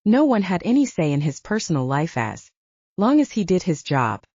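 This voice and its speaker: Female audiobook narrator, lowish voice with expressive swings, husky, close and slightly muffled. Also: normal speed, no echo. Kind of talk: scripted fiction narration.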